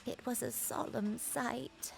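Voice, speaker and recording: Frail, creaky-voiced old granny. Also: female voice, a little high, steady tone, darkish tone, slight background noise, heavily acted. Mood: wistful, sorrowful. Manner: halting, apologetic, wistful. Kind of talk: video game voice acting.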